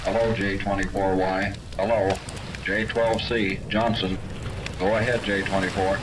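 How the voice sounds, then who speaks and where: An actor playing a steady, formal station operator, heard as a voice over a radio set in a 1930s serial. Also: male voice, low-pitched, unhurried. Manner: flat, procedural. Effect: distant radio receiver. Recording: distant, noisy old radio transmission.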